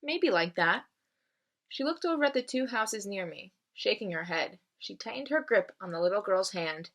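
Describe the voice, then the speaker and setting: A woman with a medium-pitched voice gives a storybook read-aloud. Her delivery is expressive, and she sounds teacherly, putting things simply as if for young listeners.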